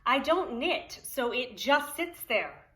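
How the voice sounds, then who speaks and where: A woman's voice: sits high, big pitch swings, slow, bright, forceful tone, heavily acted. A woman voicing a kind, obliging female friend in a children's storybook, sweet and polite. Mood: casual.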